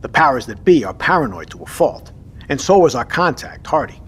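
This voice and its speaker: Man acting lively, commanding navy captain. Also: medium pitch, fairly quick, slight background noise. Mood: brisk, probing. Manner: commanding, profane, clipped. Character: gruff, decisive navy submarine captain in command. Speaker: actor in an audio drama. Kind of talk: scripted audio drama acting.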